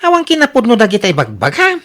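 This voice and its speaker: A woman's voice: on the high side, huge pitch swings, quick pace. A woman playing a defensive working husband in an Ilocano radio drama, protesting and placating. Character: defensive working husband accused by jealous wife.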